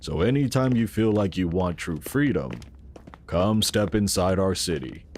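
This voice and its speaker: Casting: courteous, persuasive sci-fi founder, low voice with very lively intonation. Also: male voice, measured pace, a little hiss or hum. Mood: courteous, reassuring. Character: assured male founder of a futuristic secret city. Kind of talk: audio drama acting.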